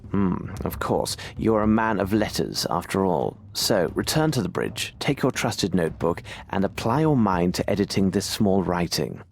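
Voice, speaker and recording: An aloof detective for a video game. His voice sits fairly low and moves with animated intonation. He speaks formally and commands everyone around him. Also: moderate pace, gravelly, few pauses, heavily acted. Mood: stern, dismissive. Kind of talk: video game cutscene acting.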